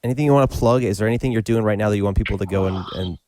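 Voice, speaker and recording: A man's voice: fairly low pitch, very fast, darkish tone. A man hosting a podcast, talking in an informal, conversational way. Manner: informal, appreciative, says "man" and "dude" often. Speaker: podcast host. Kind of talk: casual chat and informal host outro.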